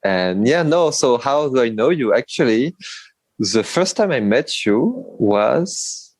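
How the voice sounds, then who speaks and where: A man hosts a podcast and rambles through his welcome, restarting and laughing as he goes. His voice sits at a middling pitch. The sound is dry, like a video call. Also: sing-song, slow, dry recording. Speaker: podcast host. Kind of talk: casual interview chat. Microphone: remote video call.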